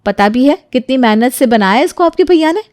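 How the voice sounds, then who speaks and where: A woman playing a sharp-tongued, possessive sister-in-law in a Hindi audio story, scolding with sarcasm. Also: sits high, lively intonation, brisk, no echo, studio quality, voice pushed up. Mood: outraged.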